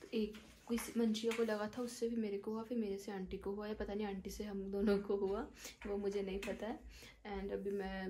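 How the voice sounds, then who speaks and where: A woman's voice: mid-range voice, little pitch movement, normal speed, mellow, a little hiss or hum. A young woman with a sore throat and a cold, chatting casually to her phone camera in a small bedroom at home, rambling and mixing in English words. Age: young adult. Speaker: vlogger. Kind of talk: casual vlog chat to camera. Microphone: phone camera at arm's length.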